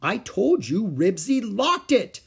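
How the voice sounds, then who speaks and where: A man voicing a stubborn, tearful little girl who screams and wails, with a husky voice. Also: huge pitch swings, measured pace, full character voice. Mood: wailing. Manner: high screaming and wailing.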